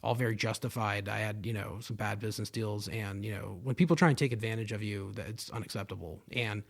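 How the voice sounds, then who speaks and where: A man's voice: lowish voice, fairly even intonation, brisk, gravelly. A young man hosting his own podcast, talking in a loose, rambling monologue full of fillers and swearing, into a podcast microphone. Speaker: podcast host. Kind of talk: casual solo podcast monologue.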